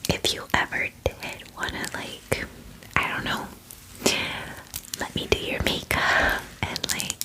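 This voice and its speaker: A woman's voice: close to an everyday voice, low-quality recording. A woman voicing a friendly, doting female dragon in a whispered ASMR fantasy roleplay, with gushing, hesitant, filler-heavy chatter. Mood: clingy, coaxing. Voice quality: breathy whisper.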